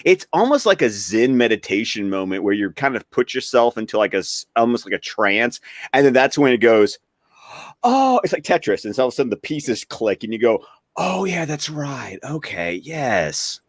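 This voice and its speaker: Very animated man in casual banter. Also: mid-range voice, moderate pace, no echo, studio quality. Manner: animated, joking, emphatic repetition. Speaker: podcast panelist.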